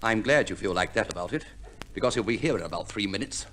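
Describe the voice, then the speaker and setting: An actor playing an exasperated, put-upon husband in an old radio comedy play, blustering and hesitant with a British accent. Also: male voice, lowish voice, lively intonation, fast talker, a bit bright, a little hiss or hum. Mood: irritated, dry. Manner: blustering, hesitant, drily understated. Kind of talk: 1940s-50s radio play acting.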